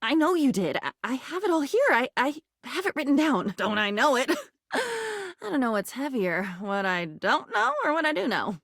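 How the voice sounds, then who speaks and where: An unhinged female living diary from a video game, with a high voice that swings wildly in pitch. She is insecure and morbid, speaking in erratic fragments that veer from hushed muttering to outbursts. The sound is close and dry. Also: big pitch swings, moderate pace, no echo, exaggerated voice. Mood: uneasy, brooding. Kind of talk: theatrical video game voice acting.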